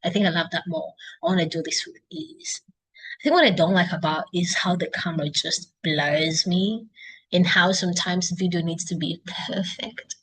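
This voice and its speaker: A woman's voice: fairly low pitch, fairly even intonation, normal speed, fairly bright tone, breathy edge, rough recording. A young woman with a Kenyan accent talking casually to her laptop at home, thinking aloud in an unscripted, self-encouraging video diary. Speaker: video diarist. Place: indoors at home, by a couch.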